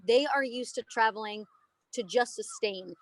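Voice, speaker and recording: A woman's voice: fairly high pitch, measured pace. A woman in her thirties, an elephant trainer, talking casually and emphatically in a podcast interview. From United States. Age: 30s. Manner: animated, emphatic storytelling. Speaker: elephant trainer and aerialist guest.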